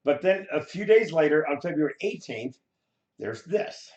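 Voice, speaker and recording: A hoarse man chats through news clips, animated and quick to laugh or swear. His voice sits a little high. The room adds a noticeable echo around him. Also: muffled recording. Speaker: co-host. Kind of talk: casual chat about news clips. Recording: roomy echo.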